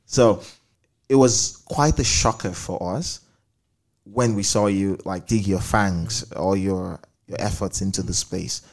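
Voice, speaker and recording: A man's voice: deep voice, takes it slowly. A man conducting a conversational interview, reflective, building questions in repeated phrases.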